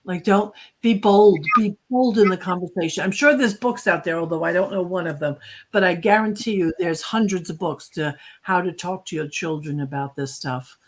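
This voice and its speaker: A woman's voice: lowish voice, normal speed. A woman co-hosting a talk show, speaking emphatically and directly as she gives advice. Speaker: co-host.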